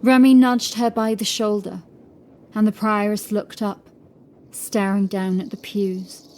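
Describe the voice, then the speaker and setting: A woman's voice: medium pitch, fairly even intonation, measured pace, darkish tone, a little hiss or hum. A woman reading scripted horror fiction as an audiobook narrator.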